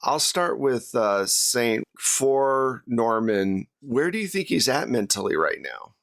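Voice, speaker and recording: A man's voice: mid-range voice, sing-song, takes it slowly, gravelly, fairly bright tone, dry recording, clean studio sound. A man speaking casually as host and game master in a round-table discussion.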